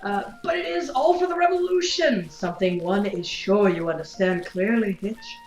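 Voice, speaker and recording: A woman's voice: mid-range voice, normal speed, a little mellow, roomy sound, highs cut off, soft background music, close to an everyday voice. A woman playing a grandiose, effusively polite but unrepentant revolutionary zealot in an audio drama, speaking in formal, stilted phrases. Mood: defensive. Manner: formal, stilted, refers to self as "one".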